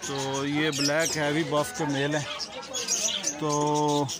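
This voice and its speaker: A man's voice: a little high, monotone, slow pace. A man with a Pakistani Urdu accent touring an outdoor bird market on his phone, chatting casually and asking prices politely. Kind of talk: casual walking vlog with price haggling. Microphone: phone camera held while walking. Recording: loud street noise and bird chirps behind.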